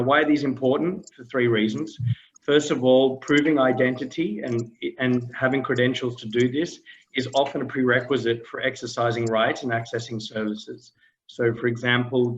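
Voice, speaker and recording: A man's voice: medium pitch, lo-fi sound. A man from Australia, giving a hesitant explanatory answer on a webinar, often repeating words. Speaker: webinar panelist.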